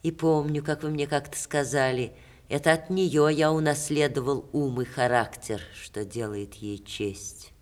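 An actress playing a witty, proud middle-aged stage star in a theatrical 1960s Russian radio play, expressive and teasing with dramatic pauses. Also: female voice, deep voice. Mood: tender, poised.

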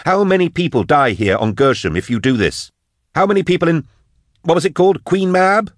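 A man voicing an outspoken, indignant male scholar who challenges and yells sarcastically in an audiobook story. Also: on the high side, lively intonation, brisk, no room sound, clean studio sound, pitched above the performer's own voice, close to an everyday voice. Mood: furious.

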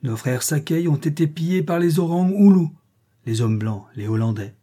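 A man's voice: fairly high pitch, animated, normal speed, mellow, voice pushed up. A man voicing a commanding chieftain giving an imperious speech to a crowd, in a storybook reading. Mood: rousing. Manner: imperious oratory.

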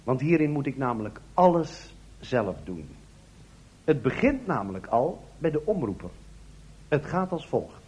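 A man's voice: mid-range voice, very animated, measured pace, darkish tone, husky. A man delivering a comic monologue, addressing his listeners as a performer of radio play parody.

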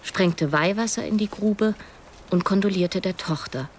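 An actress playing a reflective, wistful narrator who tells her story in measured, literary radio drama style. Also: medium pitch, slight background noise, lightly acted. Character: reflective woman narrator recalling events, wistful.

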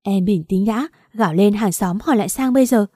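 A woman voicing a cold, brutal husband who barks curt orders in a loud, dry recording. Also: medium pitch, fairly quick, husky, no room sound, lightly acted. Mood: harsh.

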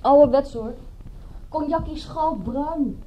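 High-voiced teasing moll, muffled recording. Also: female voice, takes it slowly. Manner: teasing, casual. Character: teasing, breezy woman of a gangster household. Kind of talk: radio drama dialogue. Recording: muffled, noisy radio play recording.

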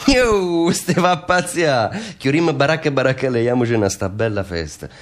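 A boisterous market hawker , acted by a man for a radio play. He delivers his street-seller patter theatrically, chanting and repeating his calls in a middle-pitched male voice. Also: animated, normal speed, lightly acted. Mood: animated, colloquial. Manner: repetitive chanted sales calls. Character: boisterous working-class male potato vendor hawking at market.

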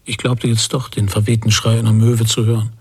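An actor playing a brooding emigrant father who recalls a stormy sea voyage in a reflective, measured radio play monologue. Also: on the low side, little pitch movement, a little mellow, breathy edge. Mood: weary recollection.

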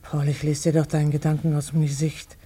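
Worried older wife, husky voice, urgently pleading in a radio play. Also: female voice, deep voice, level tone, brisk, mellow. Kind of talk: 1950s German radio drama.

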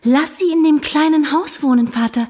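An actress playing an outspoken, imaginative young daughter in a period radio drama, forthright and excitable. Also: female voice, high-pitched, normal speed, natural voice. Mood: dreamily excited.

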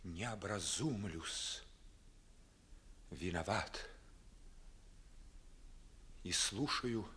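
An actor playing a proud, wounded, bitterly disillusioned young gentleman in a 1950s audio play, declaiming verse theatrically. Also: deep voice, full character voice. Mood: stunned, hushed.

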